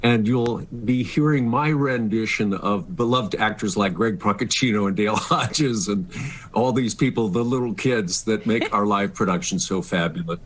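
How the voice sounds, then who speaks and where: A man's voice: on the low side, normal speed, a bit bright. A middle-aged or older man, a stage actor, talking earnestly and expressively as an interview guest on a remote call. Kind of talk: casual reflective interview talk. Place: remote video call, not in the studio.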